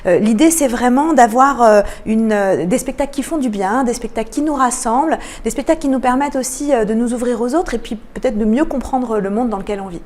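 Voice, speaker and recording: A woman giving explanatory answers as a radio interview guest, often pausing between phrases. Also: fairly high pitch, a little mellow. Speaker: interview guest, cultural programme manager.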